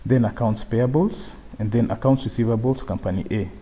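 A man presenting an unscripted software tutorial, explaining step by step and often repeating his phrases. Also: mid-range voice, a little fast, breathy edge. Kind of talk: unscripted screen-share tutorial.

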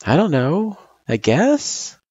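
A man's voice: fairly high pitch, huge pitch swings, slow pace, a little mellow, breathy edge, no echo, muffled recording, pitched above the performer's own voice, heavily acted. A man voicing an anxious, pouty young girl with a hesitant, plaintive delivery in a story reading. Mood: sheepish, pouting. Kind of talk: character voice in story narration.

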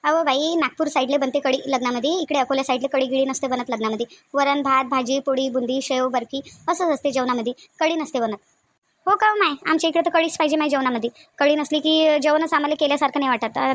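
Woman doing a high voiced village family lady for a cartoon, vidarbha marathi accent, talks fast, expressive and homely. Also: natural voice. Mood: gossipy. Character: women of a village family: shy bride, chatty sisters-in-law, scolding aunt. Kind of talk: homemade cartoon family drama voice acting.

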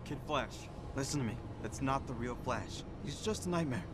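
A man's voice: medium pitch, expressive, moderate pace, background noise, close to an everyday voice. A man voicing a determined hero who gives firm tactical orders in a video game. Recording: studio voice over game music.

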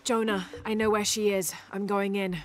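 An actress playing a brisk, determined young woman adventurer in a video game. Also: medium pitch, little pitch movement, a little hiss or hum, lightly acted. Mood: focused, urgent.

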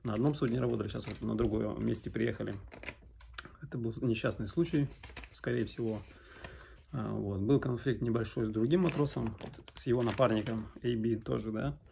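Man, thirties, from Arkhangelsk, creaky voice, casual Q&A vlog, halting delivery, noisy room recording with clicks. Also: mid-range voice, fairly even intonation, dark tone. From Arkhangelsk, northern Russia. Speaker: sailor vlogger. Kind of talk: casual question-and-answer vlog. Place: small indoor room at home.

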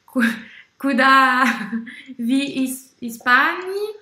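A young woman with a French accent, speaking slowly and haltingly on a video call, searching for words with many fillers. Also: high-pitched, animated, sharp tone, echoey room. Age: young adult. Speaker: language-learning video host. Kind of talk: halting conversation in a learned language. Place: small room indoors. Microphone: red headphones, webcam video call.

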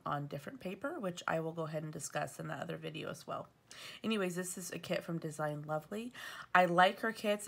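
Sniffly woman with a gravelly low voice. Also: fairly bright tone. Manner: chatty, rambling with fillers and self-corrections. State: sniffly from allergies. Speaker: planner vlogger. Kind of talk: casual show-and-tell narration. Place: at home at a table.

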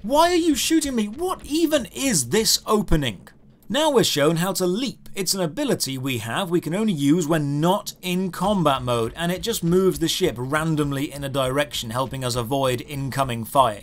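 A man's voice: a little high, animated, normal speed. A man hosting a scripted game review in a sarcastic way, with theatrical emphasis on key words. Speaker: video series host.

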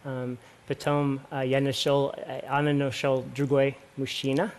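A man in his forties with an American accent, English native, answering slowly in broken Russian with many fillers as a guest on a studio dating show. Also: medium pitch, level tone, a little mellow, clear, resonant tone. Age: 40s. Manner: hesitant, many fillers, short replies. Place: large television studio.

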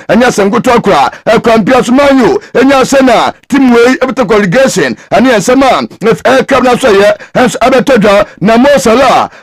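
A man's voice: sits high, no echo. A man rattling off football results and league tables, mixing English words into his speech. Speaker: sports news presenter. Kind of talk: fast football results rundown.

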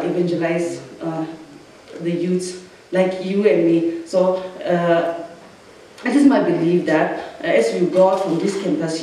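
A young woman with a Northeast Indian accent speaks softly toned and informally to a gathering. She hesitates as she looks for her words. The sound is very reverberant and distant from the mic, with faint noise behind. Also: on the low side. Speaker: guest speaker, youth and sports officer. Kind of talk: informal motivational speech. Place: echoey indoor hall, on a stage. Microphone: microphone on a stand.

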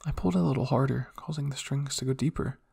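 A man reading fan fiction aloud as dramatic first-person story narration, moving between hushed and intense delivery. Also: mid-range voice, fast talker, vocal fry, breathy edge. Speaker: audio fan fiction reader.

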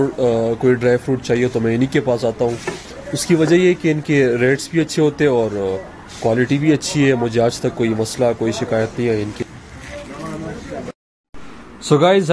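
A young man from Pakistan chatting casually to viewers as he walks through a market, filming himself on a phone held at arm's length. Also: medium pitch. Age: young adult. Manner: chatty, talks to viewers while walking. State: tired. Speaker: vlogger. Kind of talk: casual walking vlog. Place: busy street market outdoors. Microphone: phone camera held at arm's length. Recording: loud street noise on phone. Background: street traffic noise.

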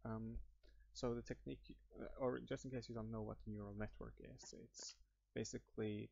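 A young man with a Norwegian accent talks over his screen recording, drifting and restarting his sentences with plenty of fillers. His voice sits low, with a gravelly edge. The recording is dry and a bit muffled. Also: dry recording. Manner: rambling, hesitant, many fillers and restarts. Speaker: YouTuber. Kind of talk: improvised screen-recording walkthrough. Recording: muffled dry home mic.

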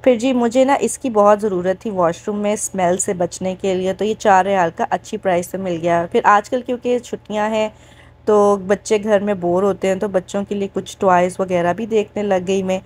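A woman's voice: medium pitch, steady tone, moderate pace, a little mellow. A woman giving a casual, chatty vlog voice-over of a shop tour, talking directly to viewers and mixing in English words. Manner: mixes English words into speech. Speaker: shopping vlogger.

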